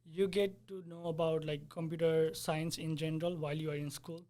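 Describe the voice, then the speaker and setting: Young man, flat and earnest. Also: a little high, normal speed. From Nepal. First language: Nepali. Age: 20s. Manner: earnest, explanatory, searching for words. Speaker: software developer, interviewee. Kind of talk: casual interview.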